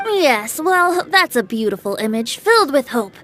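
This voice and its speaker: A woman's voice: sits high, sing-song, normal speed, a bit bright. An actress playing a playful, carefree young male bard in a video game, with a theatrical, lilting, breezy delivery. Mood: lighthearted, reasoning.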